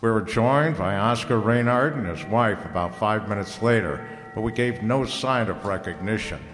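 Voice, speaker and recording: An actor playing a wry, laconic private detective in an old-time radio noir drama, slangy and dry. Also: male voice, on the low side, animated, normal speed, fairly bright tone. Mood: narrating, wry. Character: wry, hardboiled male private detective narrator. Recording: reverberant hall, music underneath.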